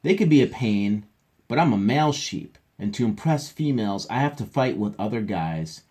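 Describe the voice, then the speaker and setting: A man's voice: fairly low pitch, lively intonation, normal speed, darkish tone, slightly rough. A man voicing a boastful male bighorn sheep from a children's picture book, matter-of-fact in manner.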